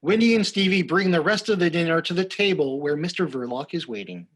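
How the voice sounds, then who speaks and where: A man's voice: a little high, lively intonation, brisk, a bit bright. A man narrating a live radio play in a scripted storytelling style.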